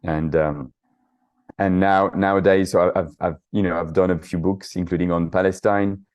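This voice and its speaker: A man's voice: low voice, steady tone, normal speed, darkish tone. A middle-aged man from the south of France with a French accent, a guest answering interview questions in a hesitant way, with many fillers and restarts. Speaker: guest, author and activist.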